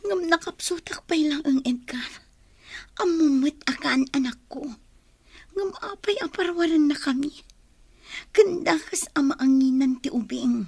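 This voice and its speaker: A woman's voice: high voice, unhurried, creaky, a little mellow, no echo. An actress playing an anguished young mother in an Ilocano radio drama, pleading and tearful, with an Ilocano accent. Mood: weak, distressed. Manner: pleading, tearful, breaks into whispers. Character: anguished young mother who has just given birth.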